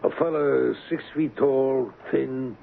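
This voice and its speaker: A man's voice: medium pitch, fairly even intonation, slow, gravelly. An actor playing a brusque, streetwise tough man posing as an agent, in casual 1970s radio drama style. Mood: pushy, sly. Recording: narrow-band old radio, faint noise.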